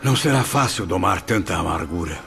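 An actor in a radio drama playing a concerned, respectful spirit visitor who speaks formally and earnestly. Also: male voice, low-pitched, expressive, brisk, gravelly, fairly bright tone, slight background noise, full character voice.

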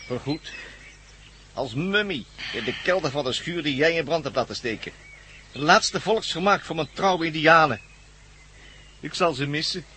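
A man's voice: a little high, lively intonation, moderate pace, long pauses, pushed and bright, slight background noise. An actor playing a megalomaniac villain in a radio drama, menacing, pompous and theatrical, gloating over his schemes. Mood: coldly gloating. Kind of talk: Dutch radio drama acting.

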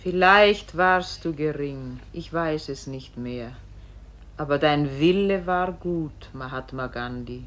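An actress playing a solemn, gentle celestial voice that guides a soul, speaking in a measured, exalted, incantatory way in a radio play. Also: female voice, lowish voice. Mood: solemn, consoling. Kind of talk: solemn classic German radio play acting.

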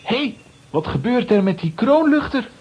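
A plucky child adventurer in a radio play for children. The voice is fairly high, animated and very husky, on a slightly dull recording. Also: female voice, moderate pace, full character voice. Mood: urgent.

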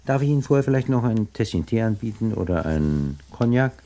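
A man playing a suave, smug gentleman crook with oily, mock-courteous politeness in a 1960s German radio play. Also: lowish voice, expressive, quick pace. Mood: oily courtesy.

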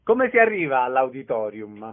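A man hosting a radio interview in a clear presenter's style. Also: mid-range voice, big pitch swings, normal speed, phone-quality sound.

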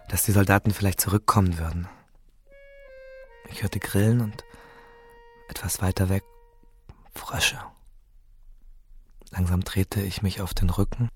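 An actor playing a frightened, stunned survivor of a mass shooting in a radio play, speaking in a husky, breathy voice with hushed, hesitant phrasing. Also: male voice, lowish voice. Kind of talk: scripted first-person radio play monologue.

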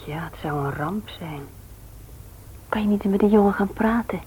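A female radio play actor playing a sympathetic, inquisitive wife and mother in a gentle conversational manner. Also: mid-range voice, normal speed, lightly acted. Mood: concerned, quiet. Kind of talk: naturalistic Dutch radio drama acting.

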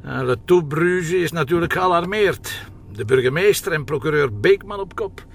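An actor with a Flemish accent playing a sardonic, needling police commissioner in a naturalistic audio drama. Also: male voice, fairly high pitch, animated, moderate pace, vocal fry, a little hiss or hum. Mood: wry. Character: wry, sarcastic male police commissioner.

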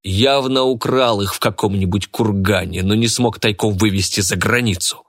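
A man's voice: on the low side, fairly even intonation, moderate pace, no echo, studio quality. A man voicing a haughty, hot-tempered businessman in an audiobook, contemptuous and declamatory. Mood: outraged.

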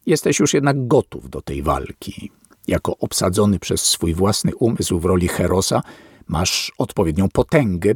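A man narrating a philosophical text in a solemn, deliberate, sermon-like reading. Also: lowish voice, gravelly, darkish tone, husky, no room sound, clean studio sound. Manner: slow, weighty and deliberate, like a sermon.

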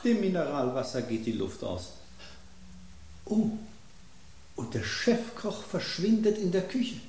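An old-fashioned, courteous gentleman, in a roomy space. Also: on the high side, sing-song, measured pace, a little hiss or hum. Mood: grave. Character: courteous man explaining a plot twist. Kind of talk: radio play acting. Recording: roomy studio echo.

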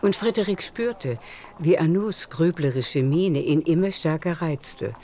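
A woman's voice: deep voice, lively intonation, measured pace, warm tone, slight background noise. A woman narrating a literary radio drama in scripted prose.

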